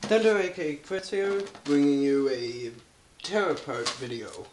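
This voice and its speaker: A young man with a crackly voice from a cold, casually narrating a teardown video in a carpeted room, halting and clearing his throat often. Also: a little high, lively intonation. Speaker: YouTube host.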